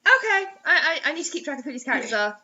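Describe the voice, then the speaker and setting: Very bright, high-pitched woman bantering sarcastically. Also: big pitch swings, racing, dull audio. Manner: sarcastic, exclamatory. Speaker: co-host commenting on a reading. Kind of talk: casual joking banter.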